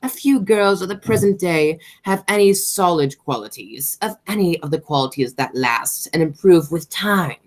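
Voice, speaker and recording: A woman's voice: fairly low pitch, animated, normal speed, hardly any pauses. Woman playing swooping, imperious aristocratic aunt. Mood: suddenly charmed. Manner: pompous, declamatory, stumbles over words. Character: imperious, snobbish, money-minded aristocratic English aunt. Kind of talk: stage comedy as amateur radio play.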